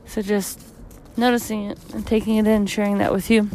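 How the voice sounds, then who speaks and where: A tired woman in her thirties, hosting her own podcast, reflecting in a rambling, casual way while walking outdoors on a street, her voice a little deeper and husky. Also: flat delivery, brisk, darkish tone, slight background noise, low-quality recording. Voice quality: slightly deep, husky from tiredness. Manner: reflective, rambling, many fillers. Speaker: podcast host. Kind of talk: casual solo podcast monologue.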